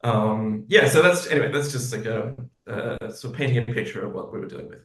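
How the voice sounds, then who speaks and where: A man chatting casually as an interview guest, halting and often restarting his thoughts mid-sentence. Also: mid-range voice, a bit bright. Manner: halting, self-interrupting, rambling. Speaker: guest, musical theatre writer. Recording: echoey room.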